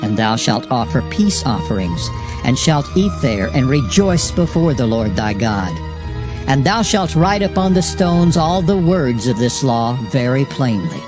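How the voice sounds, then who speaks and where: A man's voice: medium pitch, sing-song, unhurried, poor audio. A man playing a stern ancient prophet, solemn and declamatory, in a dramatized Bible reading over music. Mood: solemn, commanding. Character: stern ancient prophet proclaiming sacred law and curses.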